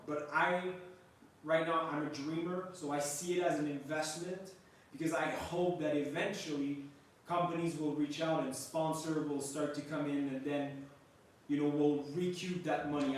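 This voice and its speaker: A man's voice: a little high, recorded from a distance. Man, French Canadian accent, native French, rambling casual talk, off-mic, echoey room, faint hiss. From Montreal, Quebec, Canada. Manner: rambling, many "like" fillers and restarts. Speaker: travel vlogger hosting a livestream. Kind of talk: casual live chat reading viewer questions.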